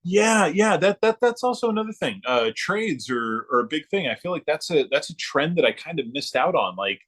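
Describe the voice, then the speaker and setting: A man chatting casually as a podcast guest, in a halting, rambling way with frequent ums and repeated words. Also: a little high, very animated, fairly bright tone. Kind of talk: casual chat about hobbies.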